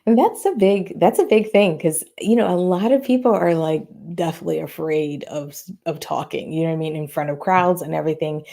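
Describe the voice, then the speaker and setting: A woman's voice: low-pitched, fairly quick, gravelly, hardly any pauses, mellow. A woman hosting a podcast interview, chatting casually with many fillers. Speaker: podcast host.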